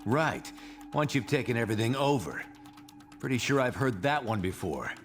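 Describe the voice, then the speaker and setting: A man's voice: mid-range voice, sing-song, moderate pace, natural voice. Game hero lead male actor: principled soldier, firm, earnest, varied intonation, music behind. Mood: defiant. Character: resolute, principled male soldier superhero leader. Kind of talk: dramatic video game cutscene acting.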